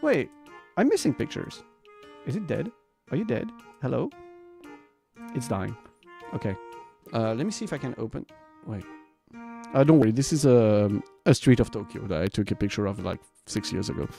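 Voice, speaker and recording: Male streamer, lively and swinging intonation, informal rambling chat, faint music underneath. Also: medium pitch, moderate pace, gravelly. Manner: rambling, informal, swears casually; animated, laughs often. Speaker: variety streamer and host. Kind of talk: casual livestream chat to viewers.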